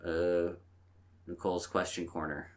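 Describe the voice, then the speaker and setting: A man with an American accent and a very low voice. He chats casually as a podcast co-host, putting on mock seriousness as he exaggerates. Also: flat delivery, a little slow. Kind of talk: casual banter.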